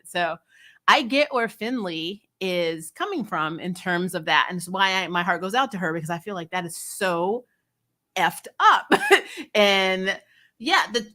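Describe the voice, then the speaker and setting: A woman's voice: mid-range voice, sharp tone. A woman hosting a casual, opinionated TV review, speaking candidly and emphatically in a conversational way with some mild swearing. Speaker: host of a TV show review channel. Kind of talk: casual, opinionated episode commentary.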